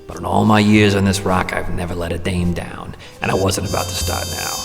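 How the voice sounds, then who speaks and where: Male hard-boiled gumshoe for radio drama: deep, terse, sardonic, faint music underneath. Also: level tone, a little fast, gravelly. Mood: brooding. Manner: terse, sardonic tough-guy slang. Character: hard-boiled, weary noir male private eye. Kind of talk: noir radio play narration and dialogue. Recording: music bed under voice, amateur audio.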